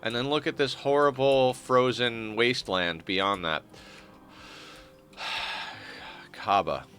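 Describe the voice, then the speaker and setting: A man's voice: medium pitch, takes it slowly, a bit bright. A young man with a stuffed-up nose giving rambling, wry commentary while streaming a game. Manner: rambling, self-correcting, wry. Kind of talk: casual gameplay commentary.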